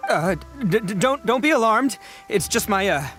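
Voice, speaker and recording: A man's voice: high voice, expressive, moderate pace, music in the background. A man playing a brash, big-hearted young oni gang boss in a video game, blustering and theatrical. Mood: strained, flustered.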